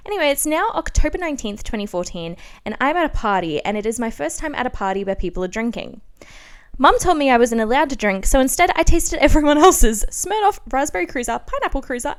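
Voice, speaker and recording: A woman in her twenties with an Australian accent hosts a podcast, reading her diary dramatically with animated, self-mocking asides. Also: high voice, fairly quick. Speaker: podcast host.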